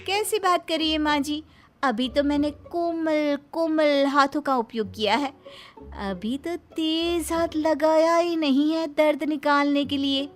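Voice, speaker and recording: A woman's voice: high-pitched, unhurried, voice pushed up. A woman voicing a cunning young daughter-in-law in a comic family story, mock-sweet, teasing and emphatic. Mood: feigned sweetness. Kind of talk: Hindi animated family comedy story. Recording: music behind the voice.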